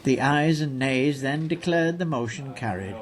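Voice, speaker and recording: A man's voice: medium pitch, measured pace. A man reads scripted narration for a local newsreel as its narrator. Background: music.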